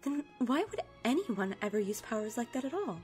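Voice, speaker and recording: An actress playing a gentle mother tending the wounded in an audio drama, soothing in manner. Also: fairly high pitch, lively intonation, fairly quick, faint music behind, natural voice. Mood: concerned.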